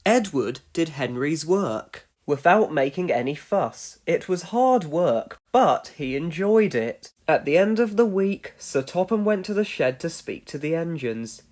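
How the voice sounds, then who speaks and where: A man narrates a children's storybook in an expressive way. His voice sits high for a man and swings widely in pitch as he tells the tale. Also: unhurried, hardly any pauses. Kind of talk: scripted children's storybook read-along narration.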